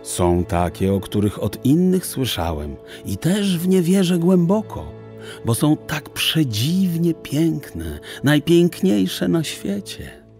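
Slow, expressive male storyteller. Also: fairly high pitch, soft background music. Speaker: audiobook narrator. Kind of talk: expressive literary narration of a children's fantasy tale.

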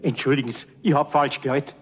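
An actor playing a good-natured older master carpenter in a radio play, gruff but kindly and plain-spoken. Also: male voice, mid-range voice, steady tone, quick pace, close to an everyday voice. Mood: awkward. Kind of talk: 1970s radio play acting.

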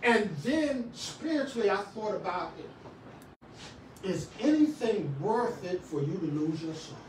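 A middle-aged man preaching a sermon in a small church, swinging from shouts to quiet talk with many restarts. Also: sits high, sing-song, measured pace, slight background noise. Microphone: far camera. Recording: echoey room, far from the camera.

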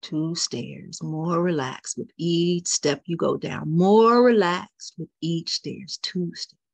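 A woman leading a guided meditation, speaking softly and repeating phrases, often dropping into a whisper. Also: on the low side, gravelly, breathy edge, no echo. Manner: slow soothing guidance with soft whispered repetitions.

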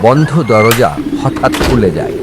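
A man's voice: mid-range voice, unhurried, very noisy. A man narrating a scripted horror story for a cartoon. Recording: loud music over the voice. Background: loud music.

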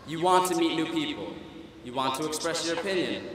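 A young man giving a humorous student commencement speech at a podium, wry and self-deprecating, with pauses for laughs. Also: on the high side, animated, fairly bright tone, a little hiss or hum. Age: young adult. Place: large auditorium stage at a podium. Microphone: podium microphone. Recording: echoey hall through a PA system. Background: audience laughter and hall ambience.

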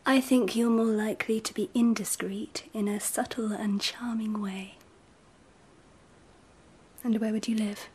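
An actress playing a gracious, teasing and wistful Empress in a radio drama, speaking softly and often close to a whisper, in a gentle, measured way. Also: female voice, fairly high pitch, fairly even intonation. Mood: teasing, probing. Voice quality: soft, breathy, often near whisper. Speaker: actor.

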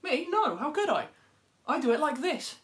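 Affronted storybook farm animal acted by a woman: emphatic, high, swooping delivery. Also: big pitch swings, normal speed, fairly bright tone. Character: affronted farm animals and birds denying blame.

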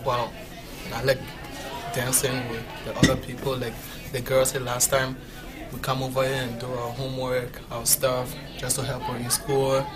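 A teenage student speaking casually about the program. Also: male voice, medium pitch, completely flat delivery, normal speed, music in the background, a crowd in the background. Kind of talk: interview answers.

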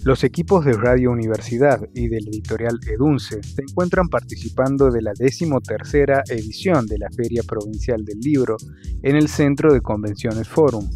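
Man reading university news voice-over. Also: medium pitch. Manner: measured, formal reading. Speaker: news narrator. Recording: voice-over with soft music bed. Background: music bed.

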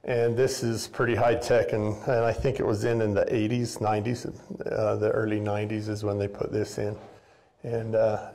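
A man's voice: on the low side, level tone, moderate pace, mellow. Folksy older man giving a basement tour. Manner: rambling, folksy, lots of fillers and restarts. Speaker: tour guide, former custodian. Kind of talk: casual guided tour. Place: basement boiler room of an old school building.

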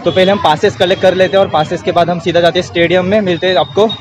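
A man's voice: high voice, steady tone, very fast, background noise. A young Indian man with an Indian accent, vlogging casually to a phone held at arm's length as he walks outdoors. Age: young adult. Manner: chatty, talks straight to a handheld camera while walking. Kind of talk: casual walking vlog. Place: outdoors on city streets and inside a college building.

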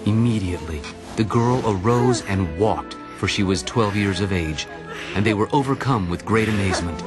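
A man narrating a dramatized audio Bible in a solemn, deliberate storytelling manner. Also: on the low side, over music.

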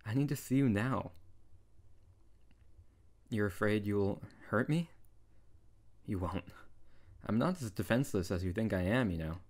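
Male actor as young wizard, lowish voice, tsundere, bossy yet tender, exasperated, intimate audio roleplay. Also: unhurried, pauses often, a little mellow. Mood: urgent, worried. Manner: exasperated, bossy, caring, with sighs. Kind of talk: intimate ASMR audio roleplay addressed to the listener.

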